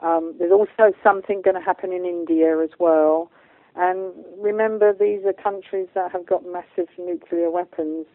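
A woman's voice: fairly low pitch, fairly even intonation, normal speed, dry recording. A British woman talking as a guest medium in a radio interview, stating things bluntly and with emphasis. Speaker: guest, spiritual medium and channeller. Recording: muffled phone-like line.

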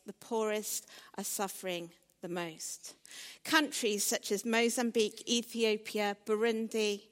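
Slow-paced woman preaching in a hall. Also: mid-range voice, a bit bright. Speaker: preacher addressing a church. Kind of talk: sermon. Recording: reverberant hall sound.

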